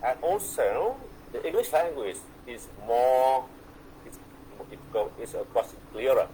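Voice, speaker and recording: A man's voice: medium pitch, very animated, warm tone, a little hiss or hum, low-quality recording. A middle-aged man with a Vietnamese accent, a guest poet answering on a video call, hesitant and full of fillers and restarts. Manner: slow, hesitant, many fillers and repeats. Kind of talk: relaxed conversational interview.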